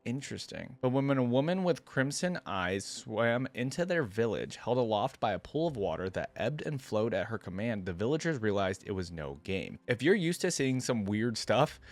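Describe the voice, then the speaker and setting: A man's voice: mid-range voice, big pitch swings, normal speed, few pauses. A man hosting a reaction video, casually thinking aloud and reading a story as he goes. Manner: talks to himself, thinking aloud with casual slang.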